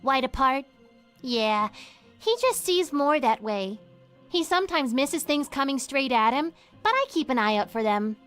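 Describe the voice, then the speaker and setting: A woman playing a sweet, earnest little girl in an animated adventure game, talking in a cutesy, childlike way. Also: high voice, a little slow, soft background music. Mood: sweet, cheerful.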